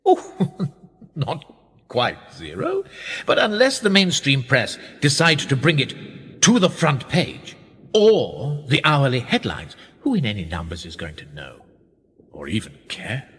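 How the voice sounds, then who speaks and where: A man's voice: mid-range voice, huge pitch swings, slow, a bit bright, husky, heavily acted. Man playing swooping, pompous aristocrat in reverb. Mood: measured, wry. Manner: urbane, pompous, condescending. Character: smooth, patronising older British aristocratic power broker, knighted. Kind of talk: radio play acting. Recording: long reverberant hall echo.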